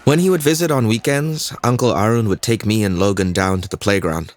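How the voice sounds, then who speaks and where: Deep-voiced brooding young man acting as a student narrator. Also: a little fast, lightly acted. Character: troubled, introspective young male student narrating his own life. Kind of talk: scripted dramatic monologue narration.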